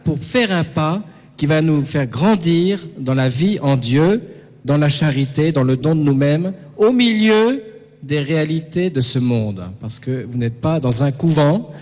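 A man's voice: a little high, darkish tone. Man preaching in a reverberant hall. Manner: didactic, punctuates points with checking questions. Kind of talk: sermon to a young audience, conversational.

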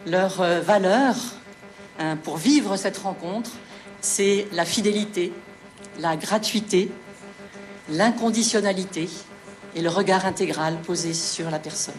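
A woman's voice: fairly low pitch, soft background music. Woman giving a formal welcome speech. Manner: measured, formal, with frequent "euh" hesitations. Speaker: director of a welcome centre.

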